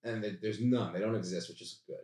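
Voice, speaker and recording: A man's voice: on the low side, a little fast, a little mellow, husky. A man in casual comic chat as a podcast guest, speaking in an exasperated, emphatic way. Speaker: podcast guest, sketch writer.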